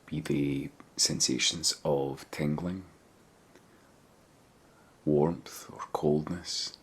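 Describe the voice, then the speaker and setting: A man with an extremely low, slightly husky voice guides a meditation. He speaks in a gentle, measured way, leaving pauses between short phrases. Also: fairly even intonation. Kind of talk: guided body scan meditation.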